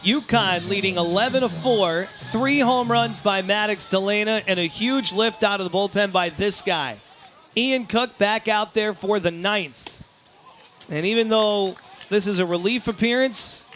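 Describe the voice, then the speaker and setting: A male baseball announcer gives live play-by-play in a high, ringing voice. He takes his time between short, emphatic phrases, with a faint bed of sound behind the broadcast. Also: fairly bright tone. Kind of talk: live sports play-by-play commentary.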